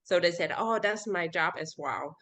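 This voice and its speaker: A woman with a Vietnamese accent speaking English as a second language, answering interview questions haltingly and searching for words. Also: fairly low pitch, pushed and bright. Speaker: interview guest. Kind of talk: casual interview.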